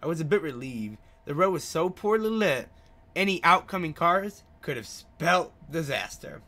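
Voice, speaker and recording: A man's voice: a little high, lively intonation, moderate pace, sharp tone. A man reading a visual novel aloud as a gaming video host, stumbling over words and adding his own asides. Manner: stumbles over written words, rereads lines.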